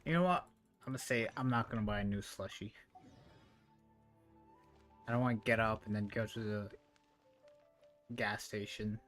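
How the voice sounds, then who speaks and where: A man live streaming a game with casual, rambling commentary, thinking out loud as he plays. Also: lowish voice, moderate pace, pauses often, soft background music.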